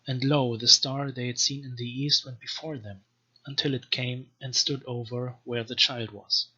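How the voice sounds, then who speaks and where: A man giving an informal, scholarly language lecture in a deliberate, measured manner, as a teacher explaining and reading aloud. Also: mid-range voice, fairly even intonation, sharp tone, slightly rough. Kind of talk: informal explanatory lecture with slow read-aloud text samples. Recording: dull, lo-fi microphone sound.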